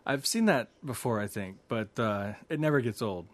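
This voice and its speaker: A man's voice: fairly low pitch, lively intonation, moderate pace. A man hosting a podcast in casual, playful chat, teasing his co-host and laughing easily.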